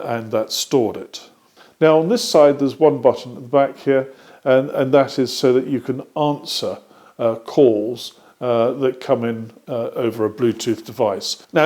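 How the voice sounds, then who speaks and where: A man's voice: medium pitch, a little mellow. British man giving a methodical demonstration. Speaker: presenter of a workshop product demonstration. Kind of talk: step-by-step explanatory product demonstration.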